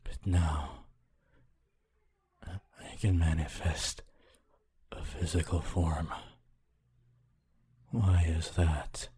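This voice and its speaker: A centuries-old ghost played by a man speaks in a deep, extremely breathy and husky voice. He drifts along at a very slow, halting pace, solemn and lonely, in a gentle ASMR roleplay style. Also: steady tone, heavily acted. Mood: wondering, earnest. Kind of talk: ASMR audio roleplay, slow scripted monologue.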